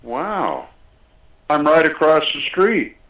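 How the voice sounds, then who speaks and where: Guy doing prank calls, short lines, medium pitch, echoey room sound. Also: very animated, takes it slowly, a bit bright. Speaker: prank caller.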